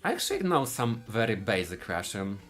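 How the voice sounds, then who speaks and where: A man from Poland with a Polish accent, giving live speedrun commentary in a halting way, often restarting his sentences. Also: fairly low pitch, wildly swooping pitch, fairly bright tone, faint music behind. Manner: halting, searching for words, frequent restarts.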